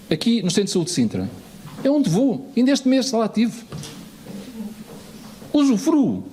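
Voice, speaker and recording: A man speaks to a municipal assembly in a high, lively voice. He swings his pitch widely, piling up rhetorical questions and repeated phrases with emphasis. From Lisbon, Portugal. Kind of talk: political speech in an assembly.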